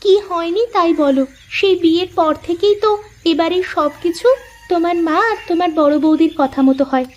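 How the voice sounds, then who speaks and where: A woman's voice: very high-pitched, normal speed, a little mellow. A woman playing a resentful, complaining young village wife in a cartoon, with muffled sound. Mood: aggrieved. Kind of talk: Bengali moral cartoon dubbing.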